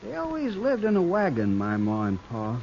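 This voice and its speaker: A man's voice: a little high, huge pitch swings, moderate pace, darkish tone. An actor playing a dim-witted, childlike and callous outlaw henchman with an American accent, whiny and rustic, in an old radio Western. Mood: earnest. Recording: dull old radio recording, faint noise.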